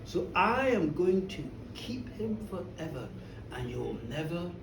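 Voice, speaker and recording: A storyteller voicing a tall, sly, magical male trickster who speaks slowly, with deliberate menace. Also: medium pitch, big pitch swings, vocal fry, roomy sound, distant mic, noisy background, voice pushed down. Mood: gloating menace.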